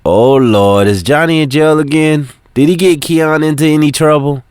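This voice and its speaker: A man's voice: a little high, normal speed, darkish tone, clean tone, no echo, voice pushed up, close to an everyday voice. A man voicing a worried, devout elderly grandmother who pleads and prays aloud, recorded dry and close.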